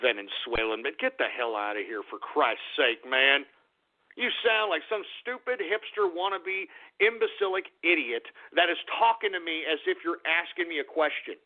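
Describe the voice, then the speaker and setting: A man's voice: on the high side, moderate pace. A man hosting a call-in radio talk show, ranting and insulting callers in an abrasive way. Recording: phone-like narrow band.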